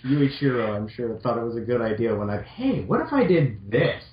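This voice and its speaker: A man's voice: mid-range voice, big pitch swings, normal speed, slight background noise. A man giving casual, mocking commentary as he reads amateur fiction aloud, sarcastic, incredulous and sweary. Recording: echoey room, dull lo-fi audio.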